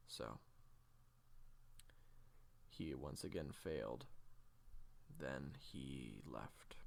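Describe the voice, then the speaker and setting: A man playing a low, gravelly, brooding assassin. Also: heavily acted. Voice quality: gravelly, low, slipping toward whisper. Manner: halting, deliberate, with heavy pauses. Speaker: audio roleplay voice actor. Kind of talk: hushed confessional storytelling in audio drama.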